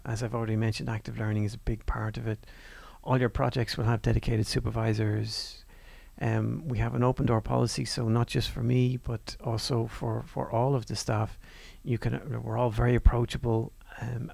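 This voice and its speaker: A man giving a university course presentation over slides in a relaxed, conversational way, with fillers and restarts. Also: mid-range voice, vocal fry, no room sound. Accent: non-Northern Irish.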